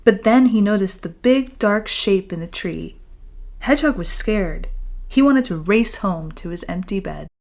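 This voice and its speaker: A woman's voice: mid-range voice, animated, unhurried, darkish tone. Woman author reading a storybook aloud. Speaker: children's author reading their own book. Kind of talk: expressive storybook read-aloud and friendly chat to young listeners.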